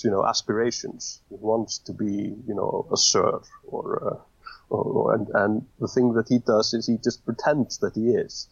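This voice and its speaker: A man's voice: lowish voice, creaky, husky, dry recording, highs cut off. A man guest on a podcast, talking casually and hesitantly with many fillers and restarts. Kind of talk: casual interview talk.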